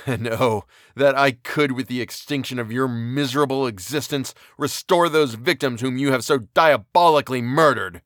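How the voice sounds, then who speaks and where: A man's voice: mid-range voice, moderate pace, fairly bright tone, dry recording, studio quality, lightly acted. A man voicing a furious young male scientist with raised, declamatory outbursts in an audiobook reading.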